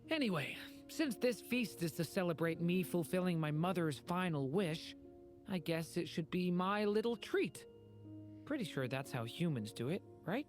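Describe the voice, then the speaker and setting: An actor playing a gentle, earnest young male dragon in human form in a video game, polite and soft-hearted. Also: mid-range voice, animated, moderate pace, lightly acted. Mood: mild, friendly. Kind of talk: video game character acting. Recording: game music behind. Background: game music.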